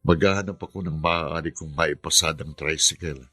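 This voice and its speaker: An actor playing a weary, kindly middle-aged father of a poor family in a radio drama, gentle and burdened. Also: a bass voice, fairly even intonation, normal speed, slightly rough, exaggerated voice. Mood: resigned, reassuring. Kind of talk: Filipino radio drama acting.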